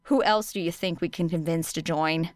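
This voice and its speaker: A woman's voice: fairly low pitch, normal speed, no room sound, natural voice. A woman voicing a brash, teasing teenage girl student with blunt, sarcastic delivery in an audiobook. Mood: matter-of-fact. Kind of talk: audiobook character dialogue.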